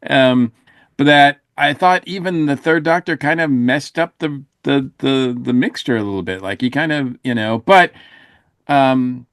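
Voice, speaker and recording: A man with a mid-pitched voice gives a casual podcast review. He feels his way through his opinions, often hesitant as he searches for words, with a close, dry sound. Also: no echo. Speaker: podcast co-host reviewing audio dramas.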